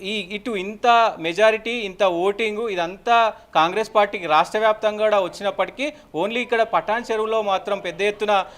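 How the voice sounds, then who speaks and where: A man with a Telangana Telugu accent giving an unscripted live news update as a reporter, in halting, repetitive phrases. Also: high-pitched, fairly bright tone, smooth.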